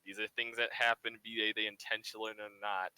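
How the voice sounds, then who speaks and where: A man's voice: lowish voice, little pitch movement, brisk, sharp tone, clean tone, low-quality recording. A man chatting casually and opinionatedly on a group podcast, emphatic and wry. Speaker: podcast panelist who streams.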